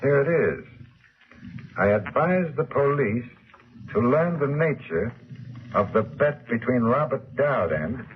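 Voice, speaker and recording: A man's voice: mid-range voice, big pitch swings, slow, pauses often. A man playing a self-assured newspaper editor dictating formally in 1940s radio style, heard as dictaphone playback. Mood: assertive. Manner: formal, declamatory. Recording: muffled, noisy vintage radio dictaphone effect.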